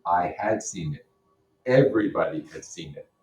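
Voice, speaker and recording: Man, low pitch, read-aloud lesson, explanatory manner, distant mic, echoey room. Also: animated, moderate pace, darkish tone. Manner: explanatory, puts rhetorical questions to listeners. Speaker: teacher reading a novel aloud. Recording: roomy echo, distant microphone.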